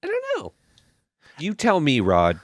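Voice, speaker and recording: A man's voice: a little high, huge pitch swings, a little slow, dry recording, studio quality. A man co-hosting a podcast, talking casually about films in an informal, sweary way. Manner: informal, profane. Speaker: podcast co-host. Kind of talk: casual film review chat.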